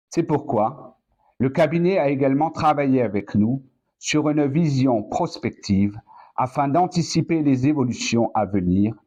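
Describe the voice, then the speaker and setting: A man with a mid-pitched voice reads a formal address to elected officials. He speaks as a council president, measured and deliberate, breaking his sentences into careful segments. The recording is somewhat dull and muffled. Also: warm tone. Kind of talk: prepared formal political speech, read out.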